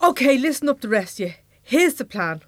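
An actress playing a brisk, down-to-earth Irish woman who leads a misfit gang in a comedy audio drama. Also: high-pitched, sing-song, dry recording. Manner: bossy, down-to-earth, chatty.

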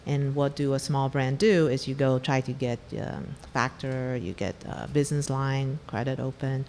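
A woman's voice: a bass voice, steady tone, normal speed, a little mellow, in a large hall, a little hiss or hum. A middle-aged woman from Taiwan, a venture investor telling her startup story in an interview, candid and blunt. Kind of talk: conversational interview.

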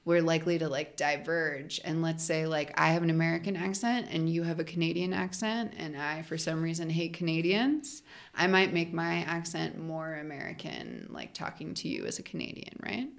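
A woman's voice: low-pitched, lively intonation, normal speed, vocal fry. A young adult woman, a linguist guest on a podcast, talking casually and explaining ideas with lots of "like" fillers. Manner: chatty, frequent "like" fillers, laughs while explaining.

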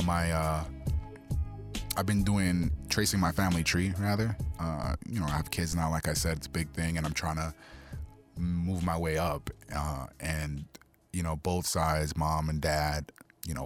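A man who grew up in New York speaking Spanish first talks as a musician guest in an interview, halting and full of fillers. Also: very deep voice, faint music behind. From Queens, New York City, US. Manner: relaxed, halting, full of fillers like "you know" and "um". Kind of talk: casual interview talk.

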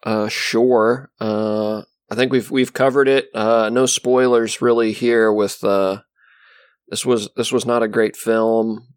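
Male co-host, low voice, laid-back, hesitant delivery. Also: little pitch movement, slow, clear, resonant tone, no room sound, clean studio sound. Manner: easygoing, hesitant with many uhs. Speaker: podcast co-host. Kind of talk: casual movie review.

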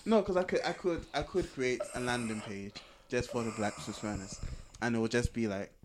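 A man's voice: mid-range voice, brisk, background noise. A man co-hosting a podcast, chatting casually as he explains things. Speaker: podcast co-host.

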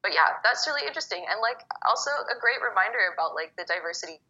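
A young woman from Egypt, a poet guest, talking casually and quickly with lots of "like" fillers. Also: medium pitch, lively intonation, pushed and bright, husky. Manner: fast, chatty, many fillers like "like". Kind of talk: casual conversation. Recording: muffled phone-like call audio.

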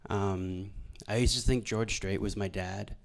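A young man from Alberta, doing stand-up storytelling on a stage microphone in a deadpan, halting, self-deprecating way. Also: fairly low pitch, gravelly. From Alberta, Canada. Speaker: stand-up comedian. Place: live venue stage before an audience.

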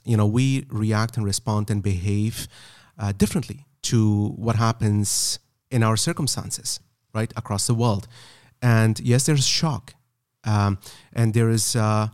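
Earnest man hosting a podcast, low voice. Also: darkish tone, no room sound, studio quality. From Afghanistan. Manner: earnest, hesitant with many fillers. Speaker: podcast host. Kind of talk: casual podcast conversation. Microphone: podcast microphone.

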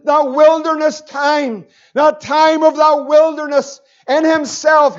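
A Northern Irish man preaching with fervour, his voice sitting unusually high for a man. He repeats phrases and draws words out slowly for weight. The recording sounds dull and lo-fi. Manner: fervent preaching, repeating phrases, slowing for emphasis. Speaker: pastor. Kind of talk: sermon.

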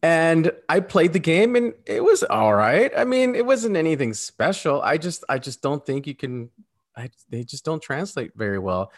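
Male co-host, slightly high pitch, very lively intonation, casual and opinionated. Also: moderate pace. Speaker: podcast co-host. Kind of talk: casual opinionated podcast chat.

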